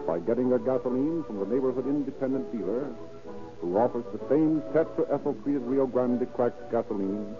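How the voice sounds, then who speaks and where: Tinny 1930s radio announcer, emphatic man. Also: medium pitch. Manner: emphatic, formal scripted delivery. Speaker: announcer and narrator. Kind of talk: 1930s radio advertising and dramatic narration.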